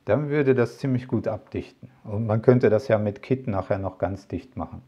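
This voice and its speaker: A man with a lowish, mellow voice talks loosely to his viewers about camera gear, wandering off topic and filling gaps with hesitations. The sound is a little dull and muffled. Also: moderate pace, breathy edge. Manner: rambling, many fillers and asides. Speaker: tech vlogger. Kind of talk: casual product talk to camera.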